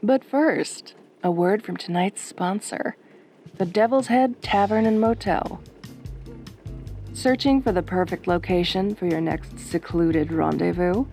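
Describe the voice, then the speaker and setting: A woman playing a sultry, sardonic late-night radio DJ in a soft, velvety, teasing voice. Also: medium pitch, creaky. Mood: sultry advertising patter. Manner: flirtatious, teasing, wry. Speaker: radio host character.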